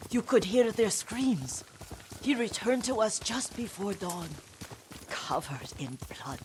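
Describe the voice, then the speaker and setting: An actress playing a gentle, wistful elderly Japanese woman servant in a video game, unhurried and motherly as she fondly scolds. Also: mid-range voice, very animated, background noise. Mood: grave, recounting. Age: older. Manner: unhurried, motherly, sometimes forgetful and trailing. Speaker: game voice actor. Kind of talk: dramatic video game acting.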